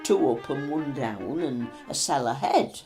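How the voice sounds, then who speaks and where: A woman's voice: rumbling low, expressive. A woman, a former mill worker reflectively recalling daily life. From West Yorkshire. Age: 80s. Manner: reflective, unhurried storytelling. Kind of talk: interview reminiscence. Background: violin music.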